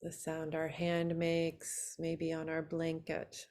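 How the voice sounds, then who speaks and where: A woman teaching yoga nidra, guiding a relaxation meditation in a soothing, unhurried way with long pauses between short phrases. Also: low voice, monotone, darkish tone.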